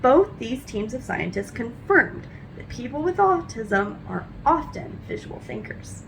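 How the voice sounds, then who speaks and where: A woman's voice: on the high side, very animated, unhurried, vocal fry, a little hiss or hum, rough recording. A woman reading a nonfiction book aloud for children, expressively, with careful teacherly phrasing and emphasized questions. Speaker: read-aloud host.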